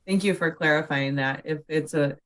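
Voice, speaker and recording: Woman, lowish pitch, meeting facilitator, informal, brief appreciative replies. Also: moderate pace, a bit bright. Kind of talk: informal online meeting moderation.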